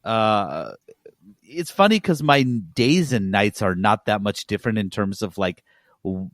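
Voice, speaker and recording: A man's voice: on the low side, animated, a little slow, no room sound, studio quality. A man hosting a podcast in casual chat, speaking with enthusiasm and emphasis. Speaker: podcast host.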